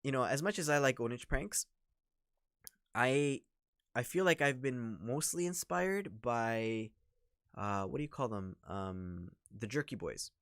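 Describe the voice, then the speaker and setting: A man's voice: medium pitch, animated, unhurried, a bit bright, smooth, dry recording. Chatty man rambling on a livestream. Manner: rambling, chatty, full of fillers. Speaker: livestream host and scam baiter. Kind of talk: casual livestream chat.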